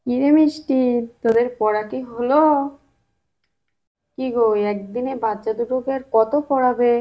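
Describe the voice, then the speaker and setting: A woman's voice: fairly high pitch, steady tone, normal speed, muffled recording, lightly acted. A woman playing a caring, homely village mother in a children's puppet story. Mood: mild chiding.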